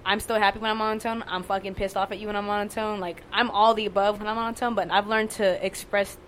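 A young woman with a fairly monotone voice, talking candidly and casually, swearing and using lots of fillers. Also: medium pitch, fast, a little hiss or hum. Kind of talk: casual conversation.

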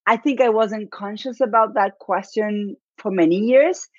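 A woman's voice: mid-range voice, unhurried, darkish tone, dry recording. A woman in her forties with an Argentine accent, a guest on an interview show, telling her story with many fillers and small laughs. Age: 40s. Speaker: guest, business coach. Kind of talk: interview conversation. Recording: dry, dull lo-fi audio.

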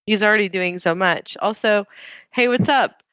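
A woman's voice: mid-range voice, moderate pace, smooth, dry recording, rough recording. Congested woman chatting on a headset. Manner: animated, playful, sarcastic. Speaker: podcast co-host. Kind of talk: casual chat. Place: remote recording from home. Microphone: gaming headset.

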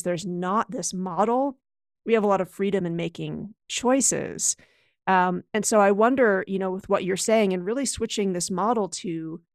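A woman's voice: medium pitch, dry recording. Chatty woman podcast host, dry sound. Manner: conversational, many fillers, thoughtful. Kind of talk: podcast interview.

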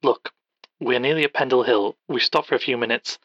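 A man's voice: mid-range voice, fairly quick, no room sound, lightly acted. Man playing an earnest reporter on dull phone message. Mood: friendly, concerned. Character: earnest, concerned male field reporter. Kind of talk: audio drama acting as casual phone messages.

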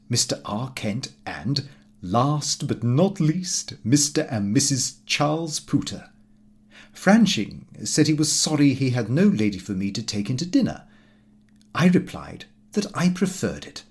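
A man narrates a comic diary in the first person. His mid-range voice moves up and down a great deal, and he tells it in a measured, slightly fussy storyteller's manner. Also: medium pitch. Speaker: audiobook reader.

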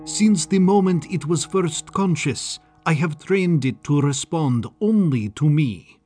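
Guy doing a smug mad scientist for an audiobook, high sing-song voice, condescending and gleefully sinister. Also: lively intonation, slow pace, darkish tone, soft background music. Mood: smug, boastful. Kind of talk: audiobook character acting.

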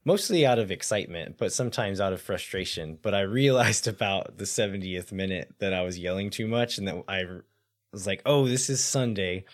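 Raspy, hoarse low-voiced man. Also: brisk. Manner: analytical, thinks aloud with fillers. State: hoarse from yelling. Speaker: podcast co-host. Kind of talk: casual sports podcast chat.

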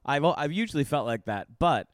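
A young man co-hosting a comedy podcast, trading deadpan quips in casual chat. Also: a little high, fairly quick, no echo, clean studio sound.

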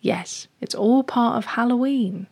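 A woman voicing an elegant, kindly female cat, gently amused, with a soothing, drawn-out, teasing manner. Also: fairly high pitch, voice pushed up. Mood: reassuring.

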